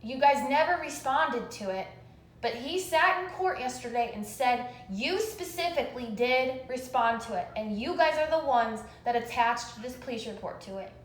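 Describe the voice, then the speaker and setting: A woman's voice: sits high, lively intonation, moderate pace. Insistent woman caller in an echoey recording. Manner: insistent, detailed explaining. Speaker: caller making a complaint to police. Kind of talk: phone call, recounting a complaint. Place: recorded phone call, echoey. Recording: echoey phone call recording.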